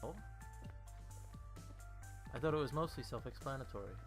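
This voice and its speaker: A man playing a composed, faintly smug superior who teases his trainee in a visual novel dub, speaking in a measured way. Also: mid-range voice, natural voice. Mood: nonchalant. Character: unhurried, smug male superior, teasing mentor. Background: music.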